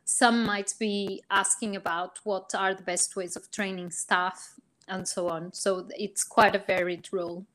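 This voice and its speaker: A woman from Portugal, a research psychologist explaining her work as a guest in a podcast interview, measured and full of ums and rephrasings. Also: medium pitch, level tone, a bit bright, rough recording.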